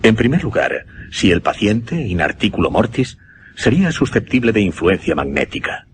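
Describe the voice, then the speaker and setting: An actor playing an earnest gentleman mesmerist in a suspense radio drama, formal and deliberate. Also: lowish voice, very animated, a little hiss or hum. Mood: grave, intent. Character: earnest gentleman mesmerist recounting his uncanny experiment.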